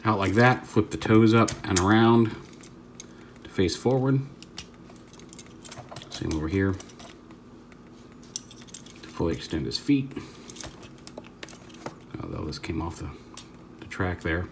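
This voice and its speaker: A man with a deep, low voice talks through a toy review in a relaxed, off-the-cuff way. He rambles and corrects himself mid-sentence while he fiddles with the piece on a tabletop. A faint hiss sits under his voice. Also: slow, pauses often. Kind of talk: casual hands-on product demo.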